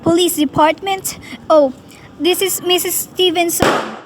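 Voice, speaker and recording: A woman's voice: very high voice, unhurried, noisy background. A woman playing an agitated, self-important married lady in a radio play, imperious, flustered and prone to stammering. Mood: flustered, urgent.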